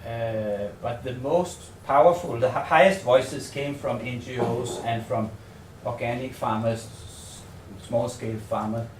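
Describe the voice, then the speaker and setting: Man, Danish accent, researcher at a seminar, halting and tentative, repeats words, roomy echo, distant mic. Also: mid-range voice. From Denmark. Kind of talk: semi-improvised conference presentation. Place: seminar room in a hotel. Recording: echoey room, distant mic, faint noise.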